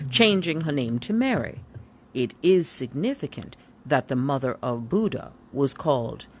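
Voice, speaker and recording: A woman reading a history book aloud expressively, with theatrical emphasis and pauses. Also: deep voice, a little hiss or hum. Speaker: book reader. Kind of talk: expressive read-aloud of an illustrated history book, with spoken asides. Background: music.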